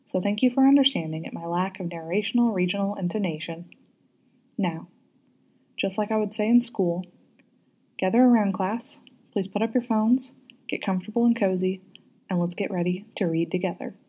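A woman narrating a story aloud as a guest reader, with an expressive, teacherly way of addressing listeners. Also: medium pitch, moderate pace, breathy edge.

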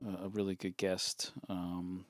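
Low-voiced hesitant podcast co-host. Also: male voice, monotone, measured pace, no echo, studio quality. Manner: hesitant, full of fillers. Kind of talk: casual chat.

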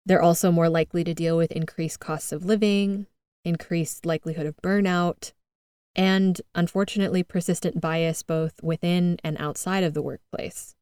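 A woman's voice: fairly low pitch, steady tone, moderate pace, darkish tone, clear, resonant tone, no room sound. An American woman hosting a podcast, reading an earnest, upbeat scripted monologue with light laughs. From United States. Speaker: podcast host.

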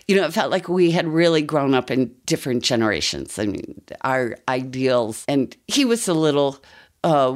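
Older woman, American accent, low voice, casual first-person storytelling, wandering asides. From United States. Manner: conversational, rambling with asides. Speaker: listener telling a personal story.

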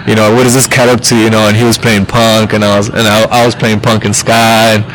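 A man's voice: on the low side, level tone, fast talker, a bit bright, slight background noise, rough recording. Laid-back man in his thirties, rambling. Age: 30s. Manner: loose, rambling, full of fillers and restarts. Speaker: podcast guest, musician. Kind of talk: casual interview chat.

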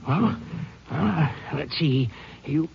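A man's voice: a little high, lively intonation, slow, background noise, heavily acted. An actor playing a dazed, rustic night watchman who stammers and drawls in a 1950s radio drama. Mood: flustered. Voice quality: gravelly, raspy drawl with ungrammatical country speech. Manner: stammers and repeats words when flustered. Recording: dull lo-fi vintage radio recording.

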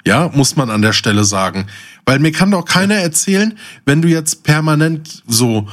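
A man's voice: fairly high pitch, very animated, moderate pace, fairly bright tone. A man talking casually as a podcast co-host, blunt, opinionated and colloquial.

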